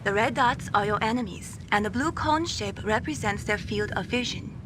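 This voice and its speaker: A woman's voice: fairly high pitch, moderate pace, natural voice. A woman voicing a young female weapons designer who teases and explains, in a noisy game cutscene. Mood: explaining. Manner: bright, teasing.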